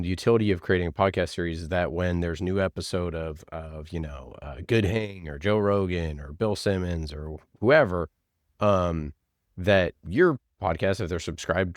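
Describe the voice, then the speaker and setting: A man answering interview questions casually, in a halting, conversational way with many small filler words. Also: sits low, dry recording, clean studio sound. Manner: halting, conversational, frequent "like" and "you know". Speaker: podcast producer, interview guest.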